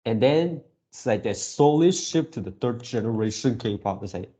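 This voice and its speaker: A man giving casual fan commentary as a video creator, halting as he repeats words and searches for phrasing. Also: medium pitch, lively intonation, warm tone. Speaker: video creator reacting to a game series.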